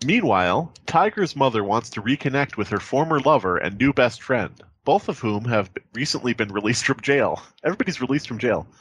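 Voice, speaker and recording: A man's voice: medium pitch, animated, fairly quick, hardly any pauses, a bit bright, breathy edge. A man chatting casually as a podcast host, reading aloud and riffing with sardonic, sweary asides. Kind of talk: casual comedic chat, reading aloud with banter.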